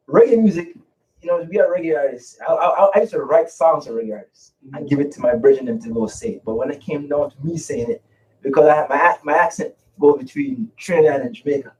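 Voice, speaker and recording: A man's voice: fairly high pitch, brisk. Laid-back man, distant radio mic. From Canada. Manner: relaxed, rambling, frequent restarts and laughs. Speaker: guest, hip hop artist and author. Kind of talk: casual radio interview.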